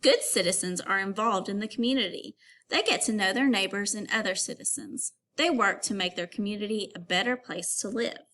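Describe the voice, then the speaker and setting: A woman teacher reading an informational text aloud to young children, pausing to give instructions and put questions to them. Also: medium pitch, sharp tone.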